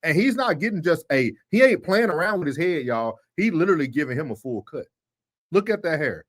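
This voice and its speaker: A man co-hosting a livestream, giving casual, animated commentary and addressing his viewers directly. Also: on the high side, brisk. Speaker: livestream co-host. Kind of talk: casual talk commentary on church.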